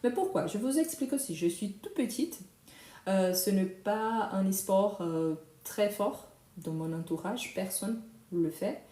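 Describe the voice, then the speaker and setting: A young woman whose first language is Brazilian Portuguese, teaching a live French lesson slowly and repeating phrases for learners. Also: mid-range voice, expressive, dark tone, reverberant.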